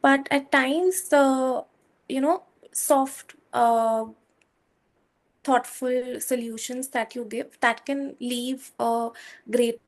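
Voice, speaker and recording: A woman in her twenties with a soft voice, answering interview questions in a measured, hesitant way with many fillers. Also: high voice, steady tone. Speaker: guest, software engineer.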